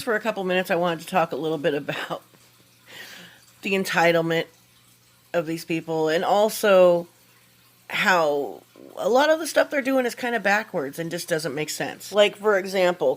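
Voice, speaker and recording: A woman's voice: lowish voice, lively intonation, normal speed. A woman giving casual, opinionated commentary in informal, slangy language as a video creator.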